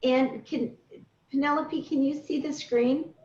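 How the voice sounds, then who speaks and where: A woman's voice: high-pitched, steady tone, a little slow, a little mellow. A middle-aged woman coaching a group on a call, guiding them through points step by step in an instructive way. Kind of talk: guided coaching talk to a group. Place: indoors on a recorded group video call. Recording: roomy, distant, dull online call audio.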